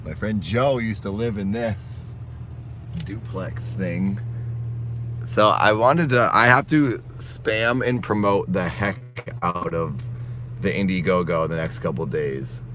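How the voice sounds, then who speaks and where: A man from Massachusetts, hoarse and raspy, chatting casually on a phone live stream outdoors while walking and driving. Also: deep voice, slow, fairly bright tone, a little hiss or hum. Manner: rambling, chatty, laughs often.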